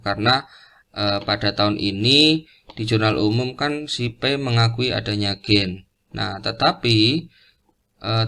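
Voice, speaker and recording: Casting: Indonesian male lecturer, lowish pitch with vocal fry, halting and repetitive step-by-step explainer. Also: a bit bright. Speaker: accounting lecturer. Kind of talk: informal explanatory lecture, worked example.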